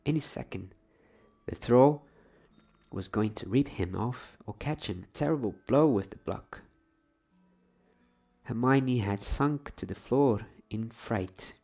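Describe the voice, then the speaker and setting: A man reading a story aloud in a soft, hushed, breathy ASMR style, slipping at times into whispers. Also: mid-range voice, slow pace, long pauses.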